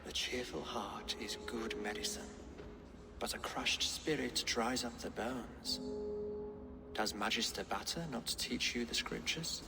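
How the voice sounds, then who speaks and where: A man's voice: medium pitch, vocal fry, loud music behind the voice. Learned Saxon king, echoing. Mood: stern reproach. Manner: formal, measured, scholarly. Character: learned, pious Saxon king, weary and eloquent. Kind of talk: video game cutscene acting.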